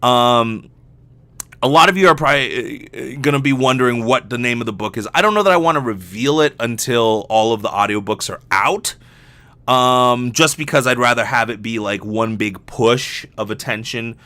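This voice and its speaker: A man's voice: mid-range voice, moderate pace, bright, forceful tone, no room sound. A man hosting a solo podcast in a casual, rambling, self-deprecating and joking way, swearing freely. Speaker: podcast host, voice actor.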